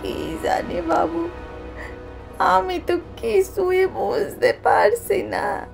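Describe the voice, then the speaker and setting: A woman playing a timid, bewildered servant with a creaky voice and fearful manner in an audio drama. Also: very high-pitched, very animated, faint music behind, heavily acted. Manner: slow, fearful.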